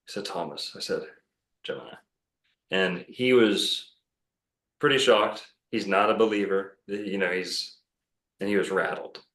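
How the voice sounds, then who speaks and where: Man, very low voice, rambling, self-assured, casual podcast guest, roomy echo. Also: measured pace, long pauses, fairly bright tone. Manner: rambling, self-assured, many fillers and restarts. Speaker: podcast guest, bookstore owner.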